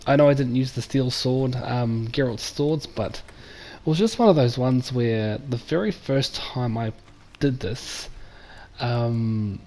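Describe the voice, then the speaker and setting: Croaky-voiced man, casual game commentary, rambling with fillers, mid pitch. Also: measured pace, mellow. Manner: rambling, many ums. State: croaky from a flu. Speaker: gamer. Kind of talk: casual let's-play commentary.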